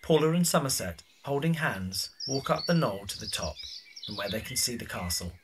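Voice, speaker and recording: A man's voice: mid-range voice, animated, normal speed, noisy background. A man narrating scripted stage directions for an audio drama.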